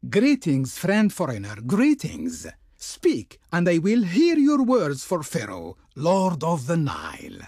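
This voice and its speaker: A grand Egyptian court diplomat for a strategy game. His voice is fairly high and a little husky, with hugely theatrical swoops in pitch. He declaims with pompous ceremony, haughty toward foreigners and fawning toward his Pharaoh. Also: wildly swooping pitch, takes it slowly, hardly any pauses, a little mellow, no room sound, studio quality, heavily acted. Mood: ceremonious, welcoming.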